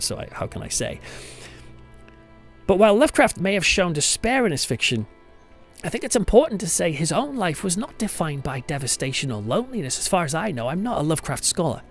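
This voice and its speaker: A British man hosting a podcast, giving a reflective monologue in a conversational, thoughtful and emphatic way. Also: on the high side. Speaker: podcast host, church pastor. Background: music under the voice.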